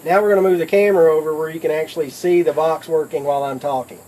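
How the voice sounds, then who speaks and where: A man's voice: on the high side, steady tone, fast, dark tone, slight background noise, rough recording. A man giving a casual how-to demonstration in a folksy, plain-spoken way, like a product demonstrator explaining things step by step.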